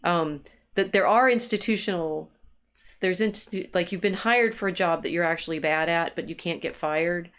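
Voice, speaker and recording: Woman w a lowish voice, cohost thinking out loud, kinda halting, repeats words while working out her point. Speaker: co-host of a discussion stream. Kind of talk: intellectual discussion, thinking aloud.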